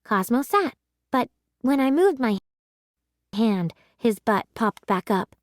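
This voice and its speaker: Slow, higher-voiced woman reading a children's story. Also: no echo, clean studio sound. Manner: deliberate, expressive. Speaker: read-aloud narrator. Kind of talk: expressive children's story narration.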